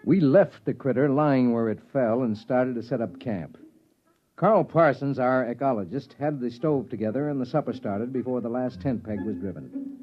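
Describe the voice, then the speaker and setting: A man's voice: medium pitch, moderate pace, faint music behind, lightly acted. An actor playing a wry, matter-of-fact space surveyor who tells his own story drily in a 1950s radio drama. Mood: dry, confiding. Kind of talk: 1950s radio drama first-person narration. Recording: dull vintage radio recording.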